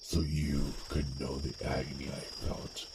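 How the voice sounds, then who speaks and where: Guy acting as super deep slow brooding dragon slayer, full of hate, asmr fantasy roleplay, breathy bits, loud crickets behind. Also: monotone, very noisy. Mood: hateful menace. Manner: brooding, intense, halting delivery with breathy near-whispered phrases. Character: vengeful male dragon assassin, fiery and hate-driven. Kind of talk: dramatic first-person roleplay monologue, ASMR.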